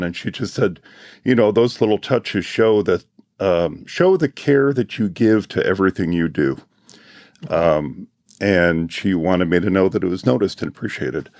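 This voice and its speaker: Hoarse, deep-voiced man, heartfelt. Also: a little mellow, no room sound, clean studio sound. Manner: heartfelt, reflective, clears his throat often. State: losing his voice, hoarse. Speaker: conference organizer. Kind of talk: casual panel chat.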